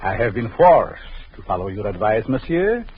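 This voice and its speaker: An actor playing a cruel, vengeful doctor in an old radio drama, taunting in a slow, sinister way. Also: male voice, fairly low pitch, very animated, breathy edge. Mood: menacing. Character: vengeful jealous male doctor, gloating and cruel. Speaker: villain. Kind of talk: 1940s radio acting. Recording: muffled old radio recording.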